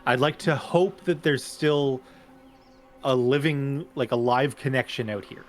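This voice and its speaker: Slow-talking man at a tabletop game. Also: mid-range voice. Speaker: player in a tabletop roleplaying show. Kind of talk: casual in-character tabletop roleplay chat.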